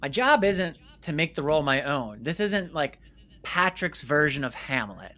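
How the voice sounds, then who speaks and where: A man's voice: fairly high pitch, sing-song, normal speed, sharp tone. A man speaking as an interview guest in a conversational storytelling style, emphatic and repeating phrases for stress. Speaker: interview guest, filmmaker and former stage actor.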